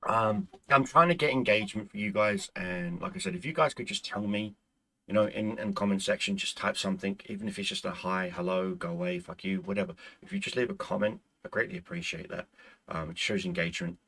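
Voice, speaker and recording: Deep gravelly man, rambling chat. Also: a little fast, sharp tone. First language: Irish. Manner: rambling, with frequent stumbles and restarts. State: losing his voice. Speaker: gaming channel host. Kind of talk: casual chat to viewers.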